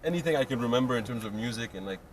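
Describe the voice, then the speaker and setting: A young man from Montreal chatting as a guest rapper in a casual interview, talking in a relaxed, rambling way with lots of fillers. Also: mid-range voice, racing. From Montreal, Canada. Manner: laid-back, rambling, many fillers and restarts. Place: outdoors on a city street. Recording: distant mic, loud street noise.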